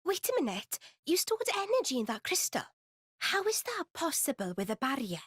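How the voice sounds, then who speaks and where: Impatient young game hero boy: high, very lively, blunt British slang, dry sound. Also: moderate pace, no echo, full character voice. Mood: puzzled. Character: impatient, earnest, plucky teenage boy. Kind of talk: voiced game cutscene acting.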